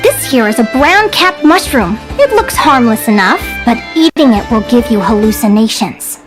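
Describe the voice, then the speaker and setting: A woman's voice: a little high, big pitch swings, moderate pace, music in the background. A woman voicing a perky young adventuring advisor in a video game, chirpy and theatrical as she instructs and quizzes. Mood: cautionary, amused. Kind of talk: video game character acting.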